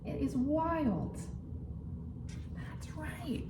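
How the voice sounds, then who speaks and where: A woman's voice: high voice, animated, drawn-out words. A woman reading a picture book aloud to young children in simple phrasing, with exaggerated suspense and questions to her listeners. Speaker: children's storytime reader. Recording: roomy, distant mic, clearly noisy background.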